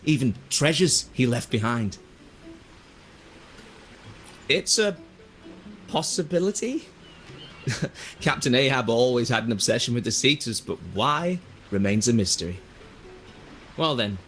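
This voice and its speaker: A man playing a thoughtful, earnest seafarer in a video game, musing in a reflective and deliberate way. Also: medium pitch, a bit bright, close to an everyday voice. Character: thoughtful adult male seafarer, earnest and wistful. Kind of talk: video game voice acting, narrative dialogue.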